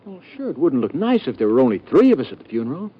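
A man's voice: mid-range voice, big pitch swings, fast, slightly rough. An actor playing a jittery, flustered young male secretary who stammers and hurries in an old radio drama, on a muffled old recording.

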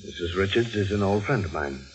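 Deliberate, professional physician in a vintage radio drama. Grave, measured speech in a low register. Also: male voice, expressive, slight background noise. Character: grave, deliberate family doctor. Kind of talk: 1940s radio mystery acting. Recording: vintage radio recording with music.

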